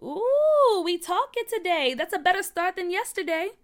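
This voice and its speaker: A woman's voice: high voice, expressive, moderate pace, bright, forceful tone. A woman voicing a sassy, supportive young schoolgirl in a storybook, chatty, upbeat and slangy.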